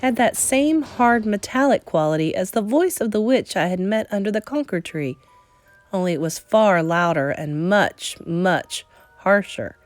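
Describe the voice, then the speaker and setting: A woman reading a children's novel aloud as dramatic narration, with suspenseful emphasis and deliberate pauses. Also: medium pitch, animated, faint music behind. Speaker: storybook reader.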